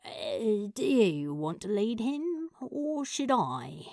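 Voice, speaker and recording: A woman's voice: on the high side, big pitch swings, slow, warm tone, no echo, studio quality, exaggerated voice. A woman voicing a sardonic, exasperated male demon in an audio story, grumbling as he talks. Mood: awkwardly grateful. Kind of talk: audio fanfiction character acting.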